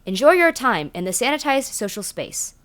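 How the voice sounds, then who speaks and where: A woman's voice: fairly high pitch, big pitch swings, moderate pace, a bit bright. A woman voicing a polite, detached automated announcer in an audio drama, formal and instructional.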